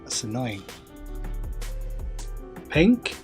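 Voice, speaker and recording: A man with a mild northern English accent, softened by time in the south, talks in an easy mid-range voice while he plays. He muses aloud and answers his viewers in a relaxed, chatty way. Light music plays quietly behind him. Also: mid-range voice, extremely slow. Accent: mild Northern English, softened by years in the south. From northern England. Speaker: gaming streamer. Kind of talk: casual live-stream commentary while playing.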